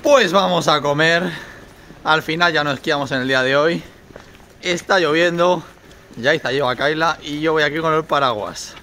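A man's voice: fairly high pitch, moderate pace, bright, forceful tone. A man in his thirties with a Castilian Spanish accent, chatting informally and expressively as he vlogs on a handheld phone. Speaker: vlogger. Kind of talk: casual vlog narration and chat. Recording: noisy handheld on-location recording.

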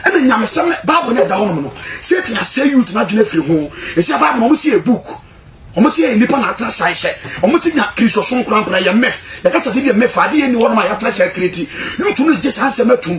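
A young man with a Ghanaian accent talking informally and excitably as a studio guest into a microphone. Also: very high-pitched, quick pace, soft background music. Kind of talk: animated informal studio talk in Pidgin and Twi.

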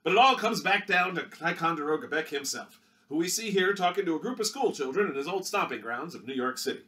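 A man voicing a showy 1930s newsreel announcer with a booming delivery. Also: expressive, fast, pushed and bright. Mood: hearty.

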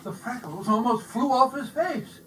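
A man narrating a children's storybook aloud in an animated, performed style. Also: extremely high pitch, measured pace. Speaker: storybook reader. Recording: distant mic with faint noise.

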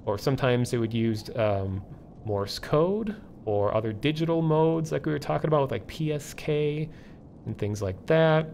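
A man hosting a livestream, explaining things casually and off the cuff in a halting, thinking-aloud way. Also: medium pitch, expressive, gravelly, darkish tone, a little hiss or hum. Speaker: livestream host. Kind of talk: casual live explainer, unscripted.

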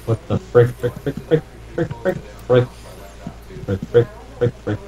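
A man's voice: mid-range voice, expressive, unhurried, dark tone, muffled recording, soft background music. A young man chatting casually while playing a game with friends, joking crudely and swearing a lot. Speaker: gamer recording with friends. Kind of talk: casual gaming chat.

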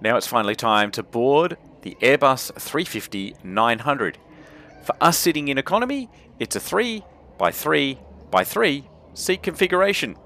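A man's voice: mid-range voice, lively intonation, moderate pace, background noise. A man narrating his travel vlog in a chatty, wry voice-over. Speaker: travel vlogger. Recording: music bed under the voice.

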